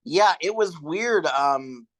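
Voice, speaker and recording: A young man co-hosting a casual sports podcast, relaxed and a little hesitant, trailing off with ums. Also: on the high side, takes it slowly. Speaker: podcast co-host. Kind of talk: casual chat.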